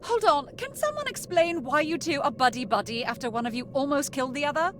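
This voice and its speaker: A woman's voice: sits high, lively intonation, a little fast, fairly bright tone, slight background noise. A woman voicing a refined, sardonic lady in a visual novel game, speaking with mannered, wordy politeness. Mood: exasperated. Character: refined, sardonic young lady with old-fashioned, genteel airs.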